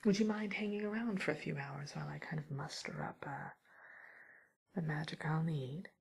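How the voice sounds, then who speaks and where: A woman acts out a sly, nervous witch in an ASMR roleplay. The voice is a low, very breathy whisper with a creaky edge, musing and hesitant as it softly threatens and gossips. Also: moderate pace, full character voice. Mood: politely threatening. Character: mildly menacing, nervous, gossipy witch catching a burglar.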